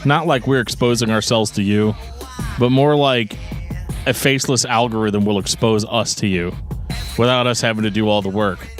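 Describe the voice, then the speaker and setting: A man's voice: lowish voice, steady tone, moderate pace, soft background music. A man co-hosting a podcast in casual chat, explaining things in a joking, rambling way. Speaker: podcast co-host.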